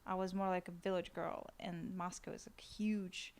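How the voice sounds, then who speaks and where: A woman's voice: fairly low pitch, level tone, normal speed. A woman from Ukraine and Russia with a Russian accent, talking casually as a guest in an interview. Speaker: podcast guest, actress.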